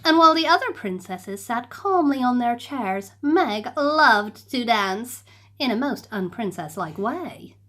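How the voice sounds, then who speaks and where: Playful children's story narrator, unhurried, lots of lilt. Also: female voice, fairly high pitch. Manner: animated, slow and playful. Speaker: storybook reader.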